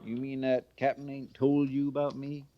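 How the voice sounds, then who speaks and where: An actor playing a bigoted, needling ex-convict army recruit in an old radio western, with a folksy, ungrammatical drawl. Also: male voice, mid-range voice, monotone, normal speed, natural voice. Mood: grumbling. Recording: muffled vintage radio recording.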